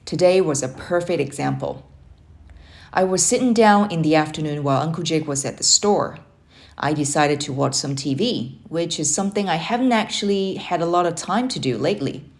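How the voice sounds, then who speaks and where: A woman narrating a children's book aloud in an expressive storybook style. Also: deep voice, normal speed.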